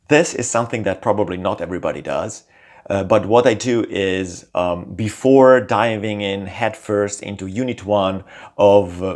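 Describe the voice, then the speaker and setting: Lower-voiced man. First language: Italian. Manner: lively, shifting intonation with frequent small fillers. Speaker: language-learning channel host. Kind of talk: scripted talk to camera.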